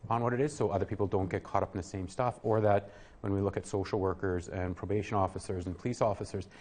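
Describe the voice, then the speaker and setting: Earnest male guest with a slightly low voice, halting speech. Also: steady tone, a little mellow. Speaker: guest, program worker. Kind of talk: interview answers.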